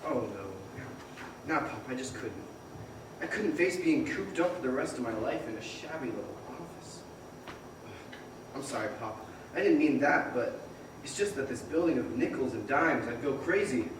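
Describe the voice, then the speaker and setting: A man's voice: medium pitch, animated, moderate pace, natural voice. An actor playing an eager, restless young man in an old-time radio drama on stage, earnest, boyish and full of exclamations. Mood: torn, earnest. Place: performance hall, far from the microphone. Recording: very echoey, distant, noisy stage recording.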